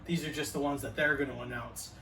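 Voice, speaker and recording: A man's voice: mid-range voice, steady tone, fast talker, bright, forceful tone, slight background noise. A man in his early forties co-hosting a casual chat show, with laid-back, profane banter. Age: 40s. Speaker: podcast co-host. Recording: echoey room, mic at a distance.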